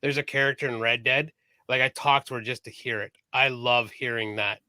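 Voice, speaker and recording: A man's voice: mid-range voice, level tone, fairly quick, bright, forceful tone, no echo. A man co-hosting a casual live-streamed podcast, chatty and enthusiastic about music, swearing casually. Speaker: podcast co-host.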